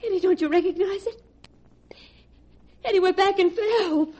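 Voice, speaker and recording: A woman's voice: very high-pitched, normal speed, dark tone. An actress playing a frightened, guilt-ridden woman accomplice in melodramatic 1940s radio style, pleading and near hysteria. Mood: alarmed. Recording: dull, muffled vintage radio recording.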